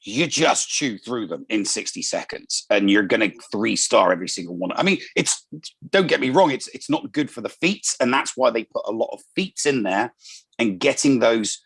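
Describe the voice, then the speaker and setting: Gravelly, husky man in casual chat. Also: mid-range voice, lively intonation, moderate pace, a bit bright, dry recording. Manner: hesitant, many fillers and restarts. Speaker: panelist. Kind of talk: casual panel chat on a live stream.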